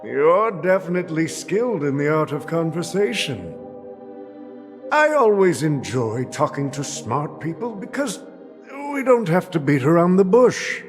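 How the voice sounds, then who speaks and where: Slow, swooping, theatrical old tycoon. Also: male voice, a little high, sing-song. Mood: sly, insinuating. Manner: florid, unctuous, slow and deliberate. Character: theatrical, smooth-talking, powerful older tycoon, scheming host. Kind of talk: video game voice acting. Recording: long hall reverb, faint music.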